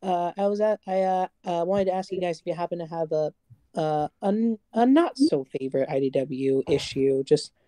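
A woman asking a panel a question, halting and full of fillers. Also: on the low side, dark tone, dry recording, clean studio sound. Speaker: audience questioner. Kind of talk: casual online panel chat.

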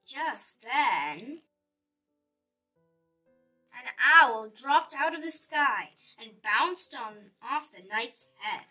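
A woman's voice: sits high, expressive, very slow, long pauses, pushed and bright, recorded from a distance, soft background music, rough recording. A woman reading a children's picture book aloud expressively, with dramatic storytelling emphasis.

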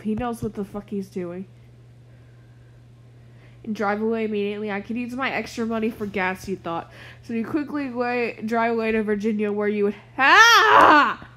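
A woman's voice: on the high side, pushed and bright. A woman giving a casual, sweary reaction commentary and reading text aloud, sounding sniffly with a cold. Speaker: reaction video host.

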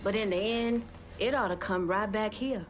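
A woman's voice: medium pitch, normal speed, natural voice. An actress playing a cool, self-assured female crime boss, challenging and defiant, in a video game. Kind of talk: dramatic video game acting. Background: music.

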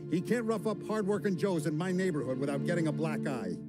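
An actor playing an older mob boss in a game cutscene, measured and paternal, with amused authority. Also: male voice, on the high side, animated, lightly acted. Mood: commanding. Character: older male mob boss, genial and commanding. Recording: game audio with loud music.

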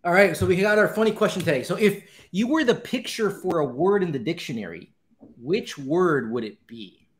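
A man from Colombia hosting a casual chat over a video call, upbeat and animated. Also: high-pitched, moderate pace. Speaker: podcast host. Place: home room indoors. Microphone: video call webcam.

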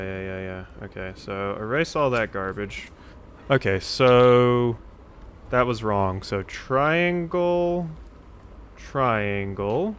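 A man's voice: fairly low pitch, a bit bright, a little hiss or hum. A man giving casual game commentary, musing to himself as he works things out. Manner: thinking aloud, musing to himself. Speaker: let's play host.

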